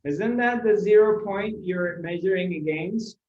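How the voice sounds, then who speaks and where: A man giving a spiritual discourse to a live audience, unhurried, repeating his phrases and asking rhetorical questions. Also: high-pitched, darkish tone, a little hiss or hum. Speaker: spiritual teacher. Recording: roomy, dull lo-fi recording.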